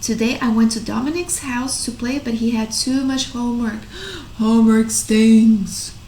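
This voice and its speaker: In an echoey room, a woman plays a whiny young storybook schoolboy in a very high voice. He is grumpy about everything and stretches out his complaints with heavy emphasis, slowly and deliberately. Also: fairly even intonation, dark tone. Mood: disgruntled. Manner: exaggerated, drawn-out complaining emphasis. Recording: echoey room, faint noise.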